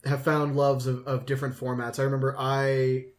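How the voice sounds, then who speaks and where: A man's voice: medium pitch, completely flat delivery, moderate pace. A man co-hosting a casual hobby podcast, talking in an enthusiastic, self-deprecating way. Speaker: podcast co-host.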